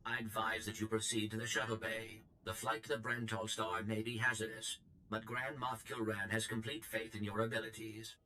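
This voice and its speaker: An actor playing a formal, unflappable protocol droid in a video game, with a procedural, monotone delivery. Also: male voice, on the low side, normal speed, slight background noise, low-quality recording. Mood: coolly formal.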